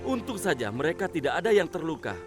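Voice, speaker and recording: A man voicing a calm male fire truck robot rescuer in a dubbed cartoon, explaining safety rules in an instructive, reassuring way. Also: on the high side, fast talker, over music.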